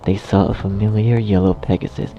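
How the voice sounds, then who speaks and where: A man's voice: lowish voice, steady tone, brisk. A man narrating a scripted story, recorded slightly dull. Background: faint music.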